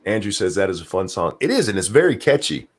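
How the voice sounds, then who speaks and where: A man with a very deep, low voice that swings widely up and down in pitch, talking loosely on a livestream. He rambles, swears casually and trails off while he searches for names and titles. His tone is expressive and animated. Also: fast. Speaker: podcast co-host.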